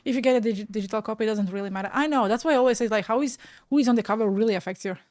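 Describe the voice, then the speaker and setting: A woman's voice: on the high side, rapid-fire, a little mellow, no room sound. Chatty Latvian-accented woman streamer. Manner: chatty, frank, laughs easily, trails off mid-word. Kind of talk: casual live-stream chat reading viewer comments.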